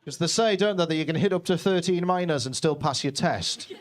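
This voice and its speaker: A man's voice: on the high side, fairly quick. A young man from Newcastle, doing a brash, self-deprecating stand-up set in a basement comedy club, swearing freely. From Newcastle, England.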